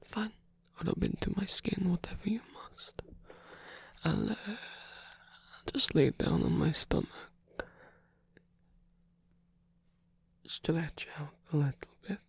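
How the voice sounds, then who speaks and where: A man playing a flirtatious, theatrical rock singer in an intimate ASMR romance roleplay, teasing and murmuring with breathy, husky, hesitant speech. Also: fairly high pitch, animated, measured pace, full character voice. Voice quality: breathy, husky, often whispered. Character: flirtatious theatrical male rock singer, tired, playfully indulgent lover.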